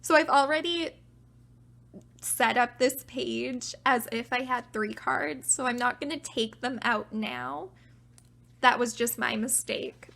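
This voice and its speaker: A young woman casually talking through her collection, chatty and self-deprecating, her voice getting raspy from talking a while. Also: a little high, slow, fairly bright tone. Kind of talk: casual show-and-tell narration.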